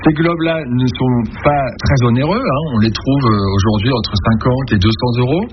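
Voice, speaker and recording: A man's voice: mid-range voice, brisk, noisy background. A man chatting casually and enthusiastically as an antiques dealer explaining objects. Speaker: antiques dealer, regular radio guest. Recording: slightly dull radio sound with music.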